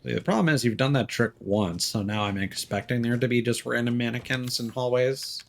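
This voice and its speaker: A man's voice: medium pitch, fairly even intonation, a little fast, creaky. A man giving casual, dry live commentary while streaming a video game.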